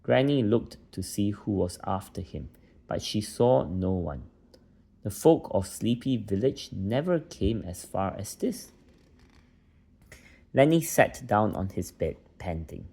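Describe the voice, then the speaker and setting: A man's voice: fairly low pitch, animated, slow pace, gravelly, dark tone, slightly rough. A man reading a children's bedtime story aloud as a narrator.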